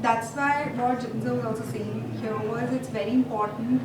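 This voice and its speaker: A woman with an Indian accent giving a legal presentation at a conference, explaining in a measured way with frequent fillers. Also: fairly high pitch, fairly even intonation, recorded from a distance. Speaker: legal expert speaker. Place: large conference hall. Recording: echoey hall, speaker off-mic, faint noise.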